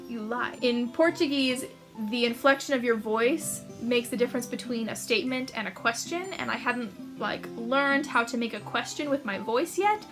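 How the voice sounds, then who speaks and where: A young American woman telling personal stories to camera in a chatty, casual way, laughing often and filling with "like". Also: a little high. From United States. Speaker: author vlogger.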